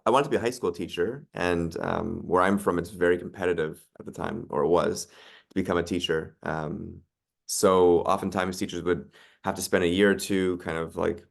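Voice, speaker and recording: Very low, gravelly man. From Canada. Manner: relaxed, many ums and likes. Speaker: podcast guest. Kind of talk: casual interview chat.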